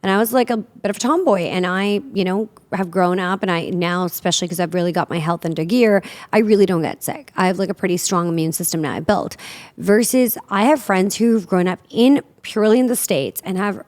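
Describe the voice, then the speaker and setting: A woman's voice: mid-range voice, brisk, a little mellow. A woman in her forties, originally from Pakistan, hosting a casual interview in a chatty, loose way with plenty of "like" and "you know". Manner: chatty, loose, lots of fillers and "like". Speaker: podcast host.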